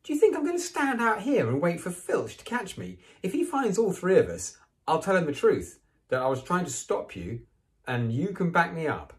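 A man's voice: mid-range voice, wildly swooping pitch, normal speed, darkish tone, voice pushed up. A man voicing a bossy, indignant young schoolgirl with a snappish, nagging manner in a storybook reading.

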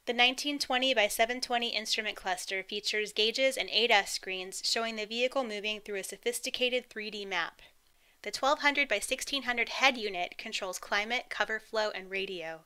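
A woman reading a scripted promotional voice-over in a polished, upbeat, even delivery. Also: mid-range voice, clear, resonant tone. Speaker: corporate narrator.

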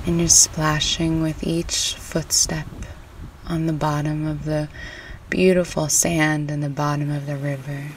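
A woman narrating a guided meditation in a soothing, unhurried, gently instructive way. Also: low voice. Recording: distant mic with faint background noise.

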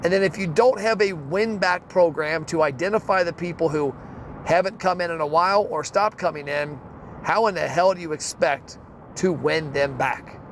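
A man's voice: a little high, normal speed, slight background noise. Fired-up man talking in a car. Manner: blunt, salty, fired-up. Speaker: podcast host. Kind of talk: casual solo podcast rant.

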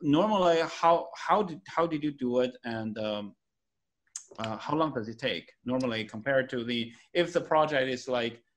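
A man's voice: mid-range voice, expressive. A middle-aged host from China, speaking as a non-native, puts his questions over a webcam. He hesitates and fills the gaps with "uh" and "um". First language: Chinese. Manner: hesitant, halting questions with many fillers. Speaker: interviewer host. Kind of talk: video call interview. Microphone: video call webcam.

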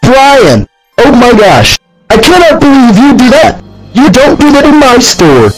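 A man playing a short-tempered coffee shop manager who barks orders and yells at his staff. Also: very high-pitched, sing-song, moderate pace, slightly rough, soft background music, exaggerated voice, rough recording. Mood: furious. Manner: yelling, bossy.

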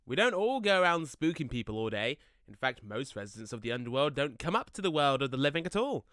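Audio drama ghost played by a man: jokey, laid-back, chatty, bright crisp tone with very animated pitch. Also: fast talker, no room sound, studio quality. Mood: chatty, wistful. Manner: casual, slangy, rambling. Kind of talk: audio play acting.

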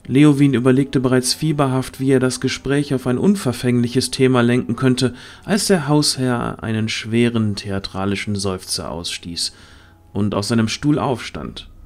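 A man's voice: medium pitch, a little mellow. A man reading scripted narration for a fantasy audio drama.

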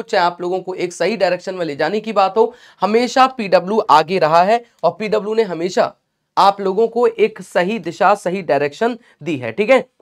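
A young man with a sore throat teaching a live online class, chatty and encouraging, mixing English phrases into his talk. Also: sits high. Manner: chatty and encouraging, mixes English phrases, addresses students as beta. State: sore throat, clearing it often. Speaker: online exam-prep teacher.

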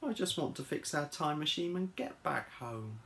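A woman's voice: low voice, lively intonation, moderate pace, gravelly, a little hiss or hum, pitched above the performer's own voice. A woman voicing an anxious, easily frightened male pig on a storybook adventure, with a worried, panicky manner.

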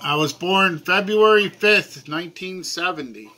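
A man in his forties playing dumb on a phone call, talking loudly and earnestly from a small room at home. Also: high-pitched, a little slow. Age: 40s. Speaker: prank caller baiting a phone scammer. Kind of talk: deadpan prank phone call. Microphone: camera on a table, phone held to ear.

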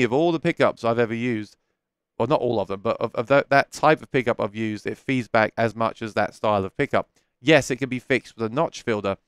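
A man in his thirties chats candidly through a headset mic in a small home studio, answering viewers' questions with frequent restarts. His voice is smooth and resonant, set at an ordinary middle pitch. The sound is dry and close. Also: no echo. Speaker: live stream host. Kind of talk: casual live chat answering viewer questions. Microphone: headset microphone.

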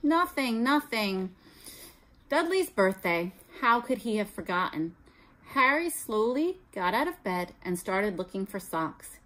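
A woman's voice: medium pitch, very animated, unhurried, fairly bright tone, rough recording. A middle-aged American woman, a teacher reading a children's story aloud expressively. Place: small room at home, indoors.

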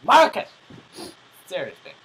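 A young man joking casually as co-host of a gaming video, excitable and exclamatory, often playfully scolding. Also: measured pace, rough recording. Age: young adult.

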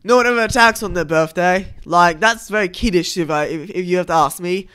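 A man hosting a gaming video, giving casual, halting commentary over cutscenes. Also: high-pitched, bright, forceful tone, clear, resonant tone.

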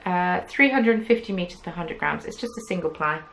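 A woman's voice: on the low side, animated, fairly quick, creaky, a bit bright, a little hiss or hum. A young woman hosting a knitting podcast, chatting casually to camera from a small craft room, rambling with lots of "um"s and playful asides. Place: small indoor craft room with yarn shelves. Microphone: camera at desk distance.

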